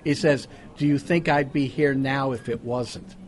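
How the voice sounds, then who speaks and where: A man's voice: mid-range voice, unhurried. A man speaking casually to a club gathering, telling anecdotes and bantering at a live luncheon. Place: restaurant luncheon meeting. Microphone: event microphone. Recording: live restaurant gathering over video call.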